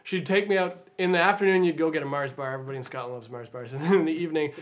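A man's voice: a little high, racing. A man with a Canadian accent, a band member being interviewed, who rambles through stories and laughs at himself. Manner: rambling storyteller, self-deprecating, chuckles. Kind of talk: casual interview chat.

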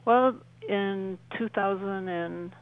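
A middle-aged woman explaining earnestly as a radio interview guest, halting and repeating phrases for emphasis. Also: medium pitch, low-quality recording.

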